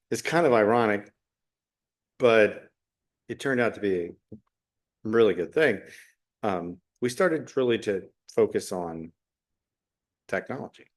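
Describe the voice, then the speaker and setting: A middle-aged man talking unscripted as a solo podcast host, reflective and halting, often pausing mid-phrase. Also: fairly low pitch. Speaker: podcast host, special education teacher.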